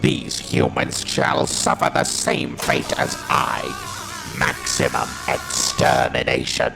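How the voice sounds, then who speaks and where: A man's voice: fairly high pitch, wildly swooping pitch, slow pace, creaky, over loud music, heavily acted. A man playing a ruthless, defiant alien war machine in an audio drama, with staccato, menacing delivery and robotic modulation. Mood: cold, defiant.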